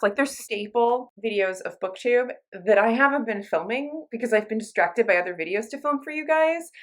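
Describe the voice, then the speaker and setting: A woman's voice: on the high side, fairly bright tone. Chatty woman hosting a book vlog. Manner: informal, self-interrupting, conversational. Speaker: book reviewer, video channel host. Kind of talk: casual chatty vlog talk to viewers.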